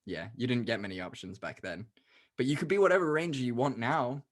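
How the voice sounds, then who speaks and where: A young man co-hosting a movie podcast in casual chat, laughing often and tossing in quick asides. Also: mid-range voice. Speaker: podcast co-host.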